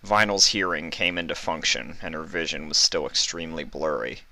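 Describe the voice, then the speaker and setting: A man's voice: extremely low pitch, normal speed, creaky, pushed and bright, no room sound. A man narrating a written fan story as its reader.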